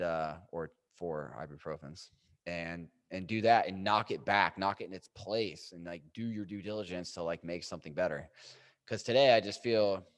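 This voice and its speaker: A man in his late thirties, a fitness trainer, talking casually and loosely to camera with lots of fillers. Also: sits low. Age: 30s. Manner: rambling, loose, filler-heavy.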